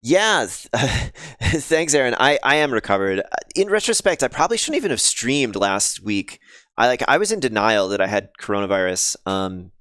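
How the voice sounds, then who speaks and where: A man thinking aloud on a casual live coding stream, pausing with ums and chatting with viewers, his voice slightly scratchy and sniffly. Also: mid-range voice, very animated, bright, forceful tone, dry recording, clean studio sound. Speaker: game developer streaming live.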